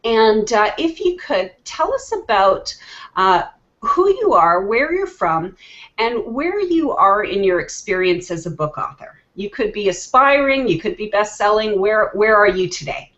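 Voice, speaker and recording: A woman's voice: mid-range voice, sing-song, a little slow, fairly bright tone. Chatty lady hosting a video call, lots of ums and restarts, kinda dull lo-fi sound. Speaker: host. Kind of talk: casual video call chat. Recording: lo-fi online call audio.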